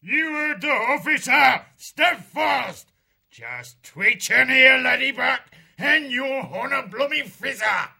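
A man voicing a bossy military hare sea-captain in a storybook, with a raspy British bark and pompous bluster. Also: high voice, big pitch swings, normal speed, no echo, pitched above the performer's own voice, full character voice. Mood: barking orders. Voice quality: raspy, gravelly shout.

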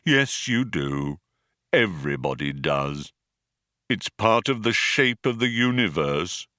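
A gloomy robot acted by a male audiobook reader speaks in a slow, muffled drone. He sounds dejected and self-pitying, resigned to being disliked. The sound is dry, with no room around it. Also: low-pitched, big pitch swings, pushed and bright, no echo, heavily acted. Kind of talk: audiobook character voice.

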